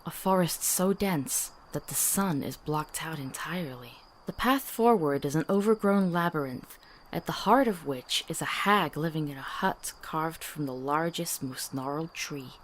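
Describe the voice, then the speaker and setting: A woman's voice: sits low, measured pace, slight background noise, low-quality recording. A woman playing a curious, skeptical young apprentice apothecary in a visual novel, with a wry, questioning manner. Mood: sardonic.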